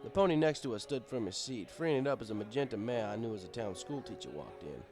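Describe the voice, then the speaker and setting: A man's voice: medium pitch, animated, fast, over music. A man reading a first-person story as scripted narration, in a wry, conversational manner. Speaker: story reader.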